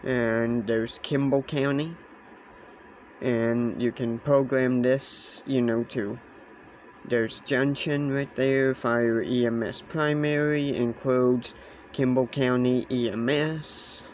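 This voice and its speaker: Man, slow pace, halting, repetitive phrasing, casual hobbyist demo, handheld camera, noisy background. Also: medium pitch. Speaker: hobbyist presenter. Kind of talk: casual explainer demonstration. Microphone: handheld camera or phone. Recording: clear steady background noise, handheld camera.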